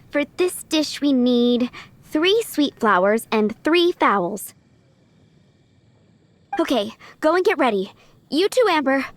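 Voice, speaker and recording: A woman's voice: sits high, animated, slow, long pauses, no room sound. A woman playing a polite, earnest and overworked young restaurant worker in a video game. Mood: stressed, earnest. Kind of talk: animated video game voice acting.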